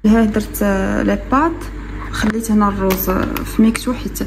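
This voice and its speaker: A young woman in her twenties with a Moroccan accent, chatting casually through a home vlog, relaxed and full of hesitations. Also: mid-range voice, quick pace, gravelly, over loud music. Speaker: vlogger. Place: apartment kitchen, indoors. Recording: echoey room, distant mic, noisy background.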